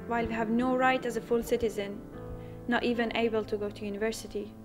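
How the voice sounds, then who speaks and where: A young woman from Iran tells her story in a steady, earnest voice pitched a little high. Music plays clearly behind her. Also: fast talker. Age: young adult. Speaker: young asylum seeker telling her story. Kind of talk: personal narration.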